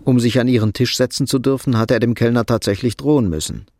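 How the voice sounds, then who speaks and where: A man's voice: mid-range voice, fast talker, a little mellow, dry recording, clean studio sound. A man reading scripted literary narration as the narrator of a radio drama.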